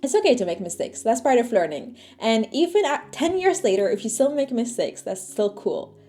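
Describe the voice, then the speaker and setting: A woman's voice: fairly high pitch, normal speed. A young woman whose first language is Arabic, chatting casually to camera as a vlogger, full of fillers and asides. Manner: chatty, animated, lots of fillers. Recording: faint music underneath.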